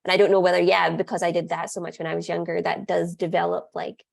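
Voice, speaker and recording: A woman's voice: fairly low pitch, flat delivery, quick pace, vocal fry. A woman talking casually on a podcast as a co-host, chatty and full of "like" fillers. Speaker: podcast co-host, staff member.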